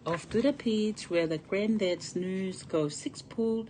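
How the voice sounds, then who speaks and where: A woman's voice: mid-range voice, takes it slowly, dark tone, a little hiss or hum, low-quality recording. A woman reading a picture book aloud to children in a sing-song storybook cadence. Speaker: storytime reader.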